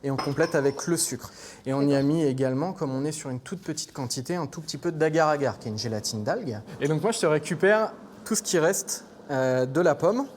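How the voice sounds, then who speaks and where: A man's voice: fairly high pitch, a little fast. Man demonstrating cooking, noisy kitchen. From Normandy, France. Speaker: chef. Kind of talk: cooking demonstration with casual chat.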